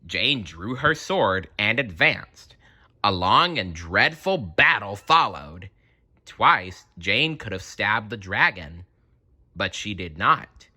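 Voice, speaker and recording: A man reading a children's storybook aloud in an expressive, dramatic manner. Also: lowish voice, takes it slowly, slightly rough.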